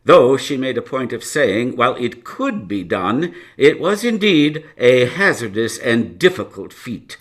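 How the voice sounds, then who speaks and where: Slow, musing gentleman storyteller. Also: mid-range voice, very animated. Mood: explanatory. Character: reflective gentleman narrator recalling his brilliant friend's case. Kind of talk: live audio drama narration.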